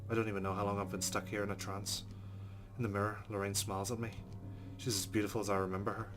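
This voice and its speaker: A man reads a game's narration aloud in a low, level voice, subdued and flat, with the odd sworn aside. Clear guitar music plays behind him. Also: fast. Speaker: let's play host.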